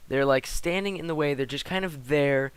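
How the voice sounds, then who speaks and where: A teenage boy giving casual commentary over gameplay, slightly hoarse from a cold, rambling with lots of fillers. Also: fairly high pitch, normal speed, no echo. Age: teens. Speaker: gaming commentator.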